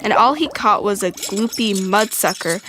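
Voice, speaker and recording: A woman reads a children's story as narrator. Her medium-pitched voice is expressive and clearly phrased, with some background ambience. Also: moderate pace, a little hiss or hum.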